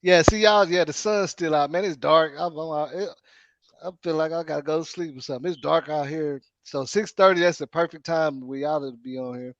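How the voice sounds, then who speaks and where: A man's voice: on the high side, brisk, fairly bright tone, no echo, muffled recording. A man born in Arkansas with a Southern accent, hosting a casual live-stream chat and often repeating his words. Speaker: host.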